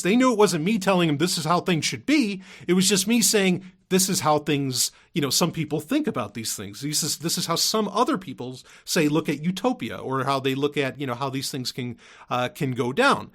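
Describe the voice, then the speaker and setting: A man's voice: a little high, sing-song, fairly quick, fairly bright tone. A man hosting a podcast in a casual, unscripted monologue, rambling and emphatic, often repeating himself and swearing casually. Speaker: podcast host.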